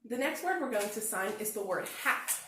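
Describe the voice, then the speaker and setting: Lively woman teaching young kids. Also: mid-range voice, background noise. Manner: slow, clear, encouraging, speaks to children. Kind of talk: children's read-aloud and sign lesson. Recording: very echoey room.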